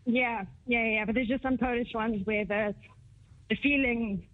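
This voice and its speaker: A woman calling in over a thin, tinny phone line, her voice sitting fairly high. She asks her question earnestly, thinking aloud and restarting her phrases as she goes. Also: fairly even intonation, measured pace. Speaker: caller. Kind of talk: caller asking a question.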